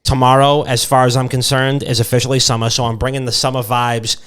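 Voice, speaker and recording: A man's voice: mid-range voice, level tone, fast, a bit bright, smooth. A man co-hosting a casual comedy podcast, rambling irreverently and repeating himself. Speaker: podcast co-host.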